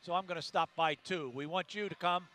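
An older male sports announcer with a high, bright, forceful voice. He rattles off final numbers and upcoming games from a courtside desk in an arena, speaking into a headset mic. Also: fairly even intonation. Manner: brisk reading of stats and promos. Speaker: play-by-play announcer. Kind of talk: broadcast sports wrap-up.

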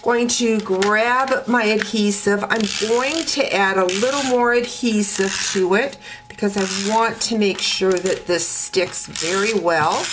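A woman teaching card making step by step at her craft table, talking in a relaxed way with many ums and uhs. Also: mid-range voice, background noise. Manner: slow, relaxed talk with frequent ums and uhs.

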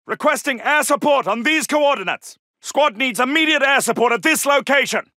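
Video game role: WWII soldier, very high-pitched, clipped and commanding, dry close recording. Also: male voice, lively intonation, moderate pace, sharp tone, no echo, heavily acted. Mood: firm, alert. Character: commanding male World War II British soldier pilot. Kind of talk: military battle callouts.

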